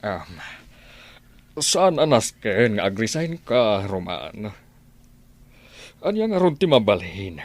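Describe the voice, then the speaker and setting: A pleading yet commanding middle-aged father. He talks slowly with a very animated melody. The delivery is that of an Ilocano radio play. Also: lowish voice. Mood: firm, persuading. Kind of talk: Ilocano radio drama acting.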